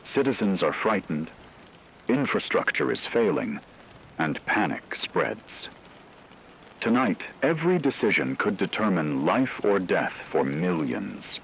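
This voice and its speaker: A man playing a grave radio news anchor, delivering a scripted dramatic war broadcast in a solemn, measured, emphatic way. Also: fairly low pitch, sing-song, gravelly, a bit bright, slight background noise, full character voice, poor audio. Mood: grave, urgent.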